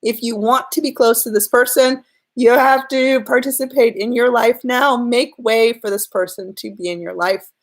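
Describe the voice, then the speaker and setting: A woman's voice: fairly high pitch, fairly even intonation, moderate pace. A woman speaking with a slight cold, her voice a little off from it. She gives earnest, direct advice straight to the listener in a live stream, leaning on the key words for emphasis. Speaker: relationship and law-of-attraction coach.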